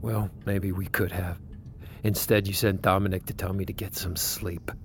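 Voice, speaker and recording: A man's voice: sits low, level tone, moderate pace, background noise. An actor playing an angry, principled male head engineer who is fed up, defiant and profane, in a naturalistic audio drama. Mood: bitter. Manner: profane, confrontational.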